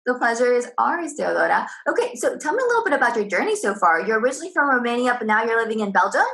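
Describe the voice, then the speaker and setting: A woman hosting an interview podcast, upbeat and asking quick follow-up questions. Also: on the high side, big pitch swings, gravelly, a bit bright. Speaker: podcast host.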